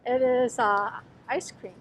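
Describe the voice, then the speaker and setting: Hoarse Midwestern woman, helpful. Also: on the high side, fairly even intonation, slow pace, slight background noise. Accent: Midwestern American. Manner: helpful, points things out. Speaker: host's wife, co-host. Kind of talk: casual chat. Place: grocery store aisles.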